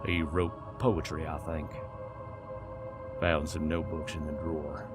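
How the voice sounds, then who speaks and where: Guy doing a low slow small-town sheriff, terse, low-key, audio story w music under. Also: level tone. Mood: hesitant, low-key. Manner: terse, understated, matter-of-fact. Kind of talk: dramatic audiobook character voice.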